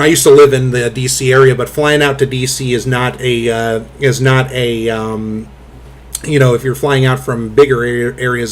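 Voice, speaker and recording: A man hosting a casual commentary chat, rambling through fillers with blunt, crude talk and a booming voice. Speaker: host.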